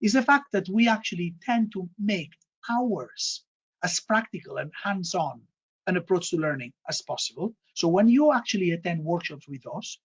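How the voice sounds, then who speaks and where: Spanish-accented man presenting, husky and muffled. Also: sits high, expressive, moderate pace. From Spain. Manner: frequent fillers and repeated words, emphatic triple repetitions. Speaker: MBA programme director. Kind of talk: online information session presentation.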